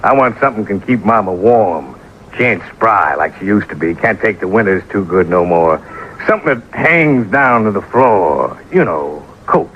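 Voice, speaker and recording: A man's voice: on the low side, expressive, normal speed, lightly acted. A male radio actor playing a rich, naive Texan oilman with a folksy drawl and rustic grammar. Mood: folksy, eager. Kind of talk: old-time radio acting. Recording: muffled, noisy old radio recording.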